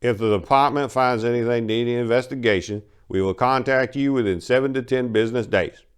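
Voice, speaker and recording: A man's voice: medium pitch, fairly quick, clean tone, pitched above the performer's own voice, lightly acted. A man voicing a surly, dismissive woman police desk clerk in a story, curt, irritated and bossy. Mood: curt, scornful.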